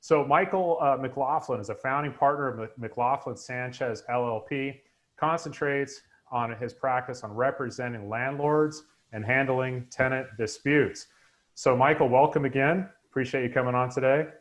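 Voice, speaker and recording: A man's voice: mid-range voice, moderate pace. Upbeat man hosting a webinar. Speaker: host. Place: home room indoors, video call.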